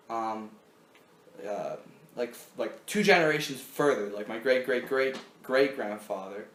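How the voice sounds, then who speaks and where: A man's voice: mid-range voice, fairly bright tone, slight background noise. A young man hosting a casual podcast chat, rambling with many fillers and restarts. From Canada. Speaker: podcast host. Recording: echoey room.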